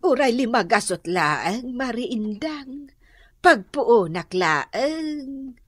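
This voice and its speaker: A woman playing an effusive, scheming borrower who likes gambling in an Ilocano-accented radio comedy drama, with exaggerated, drawn-out delivery. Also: fairly high pitch, lively intonation, husky, no echo, studio quality, full character voice. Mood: wheedling.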